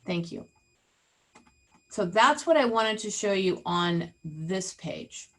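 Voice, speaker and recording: A woman's voice: fairly low pitch, lively intonation, slow pace. A woman leading an interactive online real estate training, chatty and teasing, often asking the group questions over a video call.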